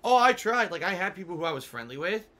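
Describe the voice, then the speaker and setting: Swearing male game streamer, lively pitch. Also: fast. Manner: frustrated, swearing, ranting. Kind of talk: live gameplay commentary. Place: small room at home. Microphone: headset. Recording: game sounds loud behind voice.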